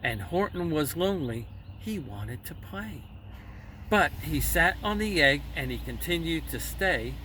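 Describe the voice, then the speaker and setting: A man telling a children's story expressively, with dramatic, measured phrasing. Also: a little high, sing-song, sharp tone. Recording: slightly distant mic, faint background noise.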